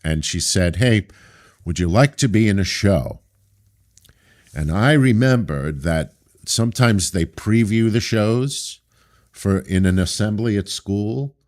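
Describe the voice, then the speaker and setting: A man from Brooklyn, a theater director being interviewed, with a deep voice and a thoughtful, storytelling manner over a video call. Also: animated, takes it slowly, darkish tone. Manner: thoughtful, anecdotal, hesitant starts. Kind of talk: casual interview.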